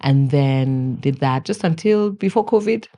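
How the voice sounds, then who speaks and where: A woman's voice: rumbling low, expressive, measured pace, mellow. A woman in her thirties, born in Kenya, speaking as a podcast guest in an easygoing, chatty and good-humored way. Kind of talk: conversational interview.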